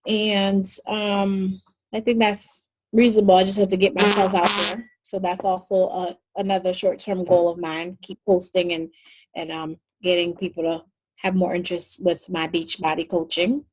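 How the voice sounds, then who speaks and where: A woman on a casual group video call, talking softly and a little haltingly. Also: fairly low pitch, little pitch movement, rough recording.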